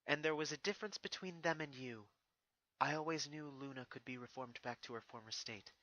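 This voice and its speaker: A man's voice: mid-range voice, a little fast, pushed and bright, dry recording, muffled recording, lightly acted. A man voicing a regal, stern female pony princess who speaks in formal, solemn speeches with a wounded resolve. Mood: firm, reproachful.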